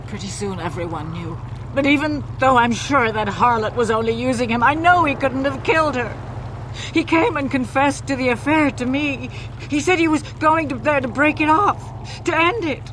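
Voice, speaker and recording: An actress playing an older, anguished but loyal betrayed wife in a video game, pleading and halting. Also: female voice, sits high, a bit bright, slight background noise, poor audio. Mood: bitter, hurt.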